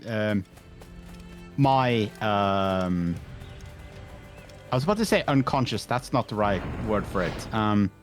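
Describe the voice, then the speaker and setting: A man's voice: on the low side, expressive, slow, pauses often, faint music behind. A man, chatting casually on a game stream with many fillers and restarts. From Norway. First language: Norwegian.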